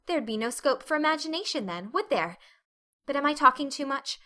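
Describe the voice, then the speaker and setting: A woman's voice: sits high, lively intonation, fairly quick, sharp tone, voice pushed up. A woman voicing a talkative, dreamy, dramatic orphan girl with an earnest, effusive manner in an audiobook. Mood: eager, happy.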